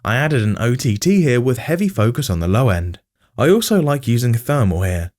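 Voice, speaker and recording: A man's voice: mid-range voice, big pitch swings, brisk, darkish tone. A man giving scripted tutorial narration, explaining step by step in informal language and addressing the viewer directly. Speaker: music production tutorial host.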